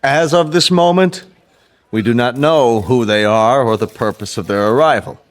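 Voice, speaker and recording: An actor playing a flustered senior diplomat in a radio drama, giving formal, halting public addresses. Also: male voice, medium pitch, lightly acted. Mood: uneasy, halting.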